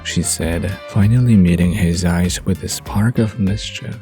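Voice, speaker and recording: A man's voice: low voice, a little slow, dark tone, faint music behind. A man narrating a scripted romantic bedtime story as the narrator of an audio drama.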